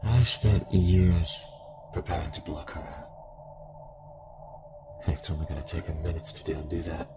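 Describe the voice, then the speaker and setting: Guy acting menacing cult villain, super low voice, tired and taunting, audio drama w music behind. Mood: resigned, taunting. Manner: slow, resigned, taunting. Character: weary, menacing male cultist villain in spirit projection.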